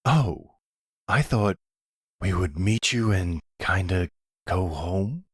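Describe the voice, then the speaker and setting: A man's voice: low-pitched, lively intonation, slow, husky, no echo, clean studio sound, pitched above the performer's own voice, exaggerated voice. A man playing a caring, slightly uneasy young boyfriend in a roleplay audio, tender, casual and hesitant.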